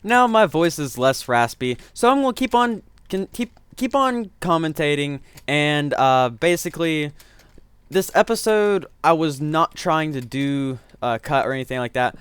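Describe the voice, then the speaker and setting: A man's voice: fairly high pitch, expressive, unhurried, fairly bright tone, clear, resonant tone, no room sound. A man giving rambling, self-correcting let's-play commentary, his voice strained from getting sick. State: voice strained from illness. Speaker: gaming commentator.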